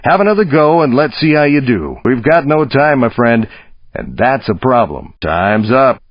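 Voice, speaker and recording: Husky American stunt coach. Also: male voice, medium pitch, very animated, moderate pace, no echo. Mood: coaching, firm. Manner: punchy, informal coaching. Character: folksy, tough, encouraging male American stunt coach. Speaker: stunt driving instructor character in a video game. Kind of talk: video game character voice acting. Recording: dull, dry game audio.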